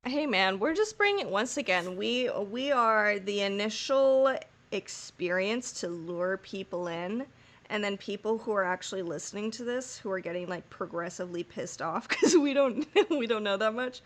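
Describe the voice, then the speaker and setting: A woman's voice: mid-range voice, expressive, moderate pace. A woman co-hosting a fan podcast, joking in a laid-back way and laughing often. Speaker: podcast co-host. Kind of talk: casual fan podcast chat.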